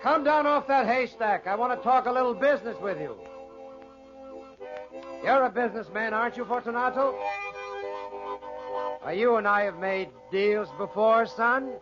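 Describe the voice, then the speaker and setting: An actor playing a scheming, insistent man who coaxes and wheedles, in a 1940s radio drama. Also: extremely high pitch. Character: scheming man coaxing a child with bribes. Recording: muffled 1940s radio recording.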